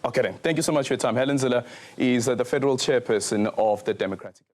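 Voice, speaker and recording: A man's voice: medium pitch, quick pace, darkish tone. A man interviewing a guest on radio, asking his questions in a hesitant way with many restarts.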